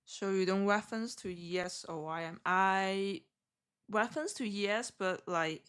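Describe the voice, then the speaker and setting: A woman from Hong Kong with a heavy Hong Kong accent, chatting with viewers on a live trading stream in halting, self-correcting English. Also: medium pitch, fairly bright tone, smooth. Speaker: day trader streaming live.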